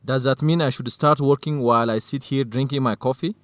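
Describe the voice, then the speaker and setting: A man's voice: medium pitch, fairly even intonation, fairly quick, dry recording, natural voice. An actor playing a polite, fair-minded, optimistic man, earnest and conciliatory, in an educational radio drama. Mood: curious.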